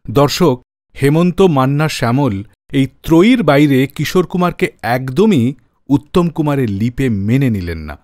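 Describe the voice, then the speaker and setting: A man's voice: mid-range voice, animated, moderate pace, darkish tone, no room sound, studio quality. A man hosting a radio show, narrating the story behind old film songs in a conversational, storytelling way.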